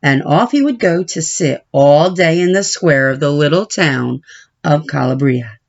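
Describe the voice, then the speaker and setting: A woman reading a children's picture book aloud as an expressive storyteller. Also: deep voice, a little slow, muffled recording.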